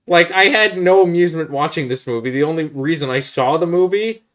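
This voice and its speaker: A man's voice: fairly high pitch, fairly quick, fairly bright tone. A man co-hosting a podcast, talking casually and giving blunt, critical opinions. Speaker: podcast co-host. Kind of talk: casual opinionated chat about films.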